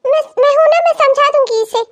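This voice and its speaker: A woman's voice: extremely high pitch, fast. A woman playing a meek, peacekeeping elder daughter-in-law in a family melodrama, soothing and submissive. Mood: pleading, soothing. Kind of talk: Hindi family melodrama story acting.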